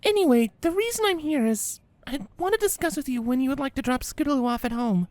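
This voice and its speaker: A woman's voice: high-pitched, lively intonation, fast talker, darkish tone, dry recording. A woman playing a polite but insistent mother mare in a cartoon-pony fan fiction audio drama.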